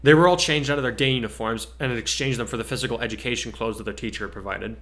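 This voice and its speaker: A male narrator reads a story aloud in a medium pitch. His delivery is scripted narration in the style of a dramatic reading. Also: racing, a bit bright.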